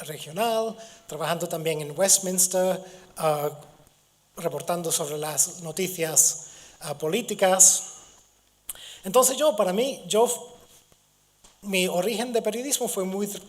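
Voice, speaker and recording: A middle-aged man from Gibraltar, a native English speaker, giving a university lecture while hoarse from a cold, halting with restarts and fillers. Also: a little high. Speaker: guest lecturer.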